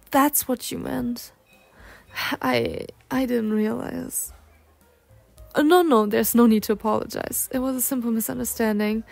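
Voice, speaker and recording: A woman's voice: on the high side, creaky, a little mellow, no room sound, exaggerated voice. A young woman voicing a polite, bookish, insecure cougar-girl on a date in an ASMR roleplay, speaking formally with flustered hesitations. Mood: flustered, apologetic.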